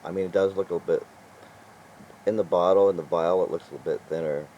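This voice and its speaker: An older man with a low, mellow voice chats loosely to the camera. He talks in an exasperated, meandering way, chuckling and trailing off between thoughts. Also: fairly even intonation, brisk, highs cut off, slight background noise. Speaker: vlogger. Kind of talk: casual rambling vlog talk.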